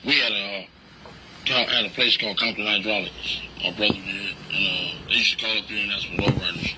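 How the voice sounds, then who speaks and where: A low-pitched man recorded through heavy noise. He talks casually in slangy, profane phrases, often repeating himself to press his point. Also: steady tone, brisk. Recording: very noisy covert-style recording.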